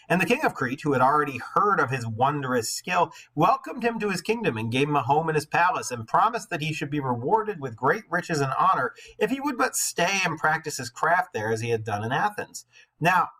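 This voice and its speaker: A man with a bright, somewhat forceful voice and a husky edge to it. He speaks as a teacher, moving between expressive read-aloud storytelling and informal classroom explanation, with a conversational way of commenting as he goes. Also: a little high, rough recording.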